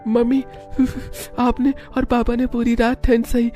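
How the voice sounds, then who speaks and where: Cartoon small child: squeaky-high, sweet, earnest, affectionate. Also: male voice, completely flat delivery, unhurried, dark tone, soft background music. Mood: tender. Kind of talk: Hindi cartoon story voice acting.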